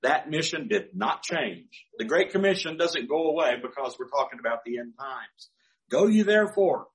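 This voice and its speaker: A man's voice: fairly high pitch, animated, normal speed, low-quality recording. An older man, a pastor, preaching a sermon through folksy personal stories with chuckling asides.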